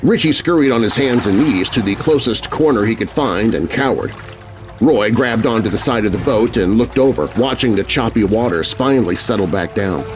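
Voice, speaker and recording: A man's voice: lowish voice, animated, normal speed, soft background music, low-quality recording. A man narrating a scary story for children in a theatrical, suspenseful, scripted style. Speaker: narrator and host.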